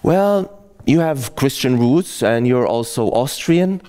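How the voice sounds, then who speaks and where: Forties man from Israel, wry solo storyteller, lively pitch swings. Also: slow pace, smooth. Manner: wry and self-mocking, with sighs and laughs. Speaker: comedian.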